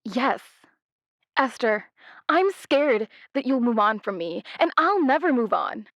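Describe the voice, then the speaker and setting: A woman's voice: a little high, big pitch swings, fairly bright tone, dry recording, full character voice. A woman playing a flighty, apologetic, affectionate ghost in an audio drama, speaking hesitantly as a caller to an advice show over a lo-fi phone line. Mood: anxious, vulnerable. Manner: hesitant, halting.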